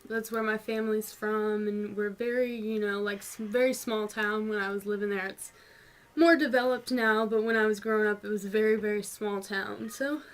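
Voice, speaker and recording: A woman's voice: medium pitch, little pitch movement, normal speed, low-quality recording. A woman in her twenties with a North Georgia mountain Southern twang, chatting casually and answering viewer questions in a vlog. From North Georgia, USA. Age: 20s. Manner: chatty, rambling, frequent ums and little laughs. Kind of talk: casual chat.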